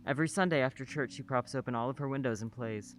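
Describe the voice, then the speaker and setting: A man's voice: medium pitch, quick pace, natural voice. A man playing a polite middle-aged nurse neighbor. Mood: calm, friendly. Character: gentle older male nurse neighbor, married, childless. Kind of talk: radio play acting.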